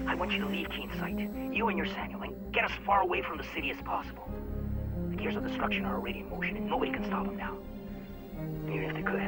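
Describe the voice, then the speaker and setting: An actor playing an anguished military major and devoted father in a video game, speaking in a breathy half-whisper as a slow, grimly resolved confession on a recorded message. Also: on the high side. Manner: slow, weighty, dramatic confession with sighs. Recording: voice message under loud music.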